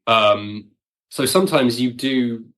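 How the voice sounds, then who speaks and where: A man's voice: medium pitch, slow, fairly bright tone. A man talking casually as a guest writer in an interview, telling stories wryly and acting out people's reactions. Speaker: audio drama writer, podcast guest.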